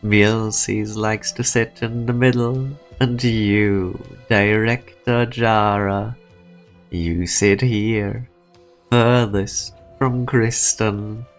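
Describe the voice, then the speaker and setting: A man voicing a calculating, sardonic and menacing executive in a game fan dub, speaking deliberately. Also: on the low side, steady tone. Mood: wry nostalgia. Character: calculating male corporate executive, sardonic, menacing, pressing for truth. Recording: music behind the voice.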